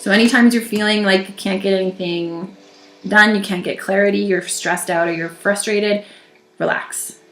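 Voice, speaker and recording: A woman with a groggy voice giving a gentle, reassuring weekly tarot reading, pausing and restarting as she talks. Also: mid-range voice, steady tone, reverberant, rough recording. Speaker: tarot reader.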